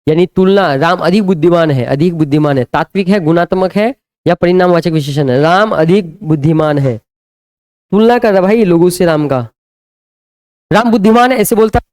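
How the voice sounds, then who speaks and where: High-voiced man teaching by call and answer. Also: normal speed, darkish tone, clean tone. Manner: drills students with repeated call-and-answer questions. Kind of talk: live online grammar lesson.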